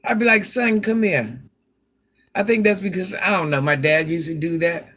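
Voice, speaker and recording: Deep-voiced granny streamer, sassy, bright forceful delivery. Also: female voice, moderate pace. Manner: sassy, talks back to commenters by name. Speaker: livestream host. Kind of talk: casual livestream chat.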